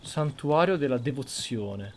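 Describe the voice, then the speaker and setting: A man's voice: mid-range voice, lively intonation, normal speed, slight background noise. A man with an Italian accent giving casual roleplay commentary as he plays a video game. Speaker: gameplay streamer.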